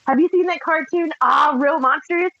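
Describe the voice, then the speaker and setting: Excitable woman podcast co-host. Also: high voice, moderate pace, vocal fry, no echo, lo-fi sound. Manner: excitable, laughs easily, exclaims. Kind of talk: casual chat.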